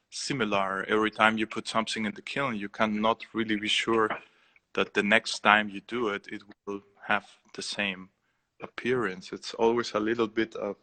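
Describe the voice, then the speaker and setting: Peruvian-accented male artist, low flat voice, hesitant delivery with frequent fillers. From Peru. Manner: hesitant, many fillers and restarts. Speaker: artist guest. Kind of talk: casual live interview.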